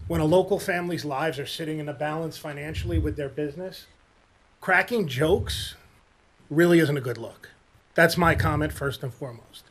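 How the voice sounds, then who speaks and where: A man's voice: on the high side, moderate pace. A man, a homeowner making a public comment at a planning board meeting. He speaks in a pointed, argumentative way. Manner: pointed and argumentative, growing forceful.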